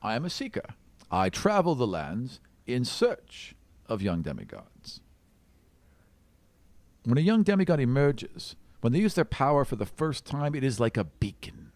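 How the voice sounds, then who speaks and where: Fantasy tabletop role: male game master as self-assured dwarf seeker, formal, calmly authoritative, animated intonation. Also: medium pitch, no room sound, voice pushed up. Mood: explaining calmly. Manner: measured, formal, faintly condescending.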